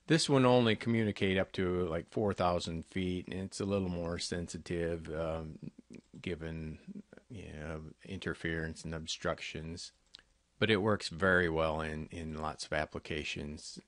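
A man giving an informal, halting product walkthrough as a company representative, explaining things in a conversational way. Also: low voice, steady tone, no room sound. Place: close, dry voice-over on a screen recording.

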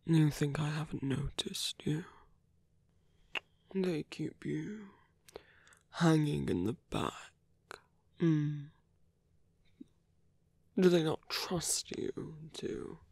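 A man performs a seductive male villain for an ASMR roleplay, coaxing and teasing at a very unhurried pace. His voice is husky and breathy, often sinking to a whisper, with a creaky edge. He leaves long pauses, as if toying with a captive. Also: a little high, a little mellow, dry recording, exaggerated voice. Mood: teasing, menacing.

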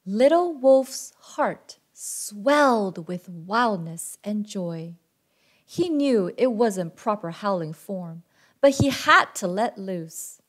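A woman reading a picture book aloud to children as host and narrator, expressive and deliberate in her delivery. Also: medium pitch.

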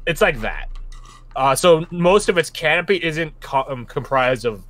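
A man with a bright, forceful voice runs a tabletop game over voice chat. He talks in a loose, rambling way, stopping to hunt for words as he sets the scene. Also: a little high, expressive, measured pace, dry recording. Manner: rambling, casual, searches for words. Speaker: game master.

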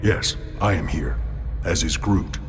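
An actor playing a huge, literal-minded alien warrior in a video game, speaking in a formal, blunt, deadpan way. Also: male voice, low-pitched, slow, big-room sound, faint music behind. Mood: solemn.

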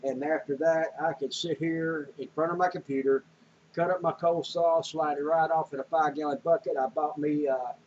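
A man's voice: a little high, a little mellow, slight background noise. An older man, rambling folksy about groceries and canning in a casual home vlog, with many fillers. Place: small home room indoors. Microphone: webcam or handheld camera. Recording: dull lo-fi home camera audio.

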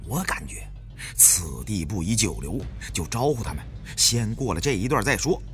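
A man's voice: fairly low pitch, big pitch swings, moderate pace, fairly bright tone. A man narrating a first-person adventure audiobook in a dramatic, suspenseful, theatrical manner. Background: faint background music.

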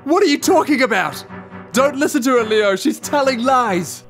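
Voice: sits high, lively intonation, normal speed, fairly bright tone. An actor playing a devoted talking dog hero who mentors a boy, earnest and melodramatic, in a superhero spoof film. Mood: alarmed. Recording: music under the voice.

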